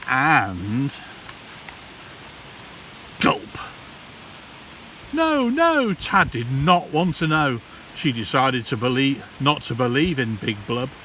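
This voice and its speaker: A man reads a storybook to children in a slow, theatrical voice that swings widely in pitch. He pauses for drama like a practised primary teacher. The recording is distant from the mic, with some hiss. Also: pushed and bright. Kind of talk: expressive children's storybook read-aloud. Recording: distant mic, hissy background noise.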